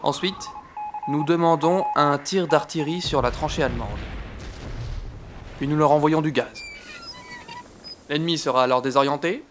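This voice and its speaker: An actor playing a pompous, easily exasperated French army captain of the First World War in a comic audio drama, speaking in a theatrical, declamatory way. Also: male voice, fairly high pitch, normal speed, long pauses, fairly bright tone, slight background noise, lightly acted.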